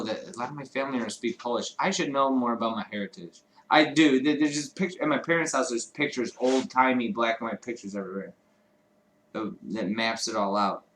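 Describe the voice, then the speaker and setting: Man co-hosting a casual livestream, rambling and swearing, mid-pitched voice. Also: fairly quick, fairly bright tone, a little hiss or hum. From Chicago, United States. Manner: rambling, profane, laughs often. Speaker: livestream co-host. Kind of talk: casual chat. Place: home streaming studio.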